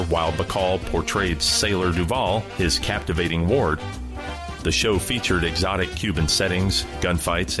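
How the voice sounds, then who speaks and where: A man hosting a radio program, giving scripted introductions to classic old-time shows. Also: deep voice. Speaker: radio show host.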